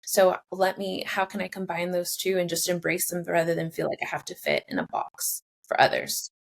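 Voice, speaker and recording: Low-voiced woman with a gravelly edge. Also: little pitch movement, moderate pace. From Mexico. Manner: earnest, reflective, frequent "like" and "you know". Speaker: therapist guest. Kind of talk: conversational interview answers.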